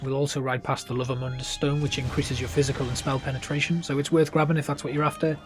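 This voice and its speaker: A man's voice: medium pitch, level tone, fast talker. A man hosting a gaming video, narrating a walkthrough in a casual style. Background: game music.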